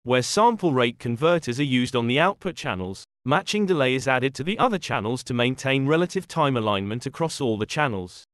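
A man reading a scripted software tutorial in a measured, instructional way. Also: mid-range voice, lively intonation, dry recording, studio quality. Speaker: tutorial narrator.

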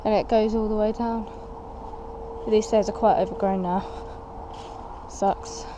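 A woman's voice: medium pitch, fairly even intonation, measured pace, noisy background. A young woman chatting casually as she walks and explores, exclaiming at what she finds, recorded on a camera she carries. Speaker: urban explorer vlogger. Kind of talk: casual walk-and-talk narration. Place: outdoors and inside a derelict building.

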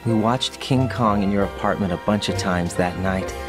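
A man's voice: on the low side, moderate pace, music in the background, close to an everyday voice. A man voicing an earnest, questioning young special forces agent in a video game. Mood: reflective. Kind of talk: video game voice acting over radio call.

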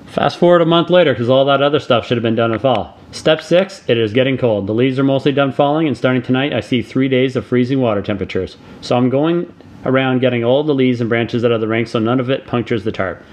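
A middle-aged man narrates a do-it-yourself tutorial in a voice of ordinary middle pitch. He reads from a script, laying out each step in a steady, plain way. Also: fast talker. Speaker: DIY channel host.

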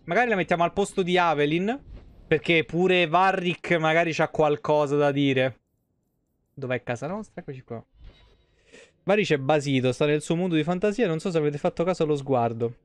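A man in his thirties doing casual live game commentary. His voice sits a bit high, and he talks colloquially with swearing into a close microphone, very dry and without room echo. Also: normal speed. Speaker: game streamer. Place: small room at home.

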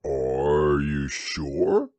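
A man voicing a gloomy, self-pitying male donkey who drawls slowly and sighs. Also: very deep voice, darkish tone, dry recording, voice pushed down, full character voice. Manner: slow, mournful, sighing drawl.

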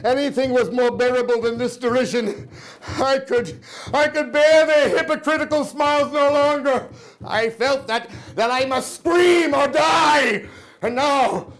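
High-pitched, halting mad murderer. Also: male voice, a bit bright, heavily acted. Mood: frenzied, raving. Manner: halting, repeating words, agitated, declamatory. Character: guilty, mad murderer unraveling as he confesses. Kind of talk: theatrical dramatic reading of gothic horror. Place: small indoor room in an old house, live audience.

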